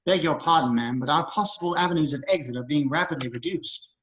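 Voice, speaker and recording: A prim, anxious protocol droid, acted by a man, speaks in a fairly high voice at a brisk clip. The wording is formal and fretful, as in a film script read-through. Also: a bit bright, close to an everyday voice. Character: fussy, anxious, polite male protocol droid. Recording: dull lo-fi call audio.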